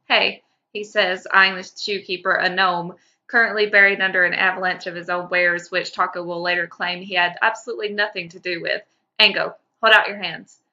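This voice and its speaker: A woman narrates a story as a podcast host. Her voice is mid-pitched and very bright, expressive, though the recording is slightly dull and lo-fi. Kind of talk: expressive fan fiction narration.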